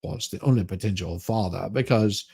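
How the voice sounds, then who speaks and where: A man giving a mock dramatic reading with crude comic asides, in a halting, folksy, stammering delivery. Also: fairly low pitch, creaky, warm tone, breathy edge. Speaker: livestream host.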